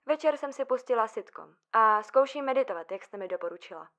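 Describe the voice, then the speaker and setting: A woman acting a fragile psychiatric patient in an audio drama. Her voice is fairly high, and she talks in nervous, halting phrases, full of anxiety. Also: animated, a bit bright. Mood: nervous, self-soothing.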